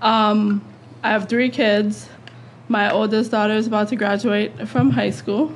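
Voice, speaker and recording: A woman from Hawaii in her forties chatting casually as a podcast guest, relaxed and using fillers. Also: medium pitch, a little slow, slight background noise, poor audio. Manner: relaxed, answers briefly with fillers. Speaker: guest host, coach.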